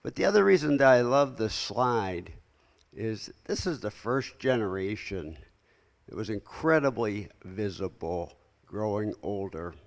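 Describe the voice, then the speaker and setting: A man in his sixties with a soft voice, giving a friendly, personal keynote talk into a microphone at a conference. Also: fairly low pitch, animated, a little slow, vocal fry. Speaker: keynote speaker. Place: conference hall. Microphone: handheld or podium microphone. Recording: long hall reverb.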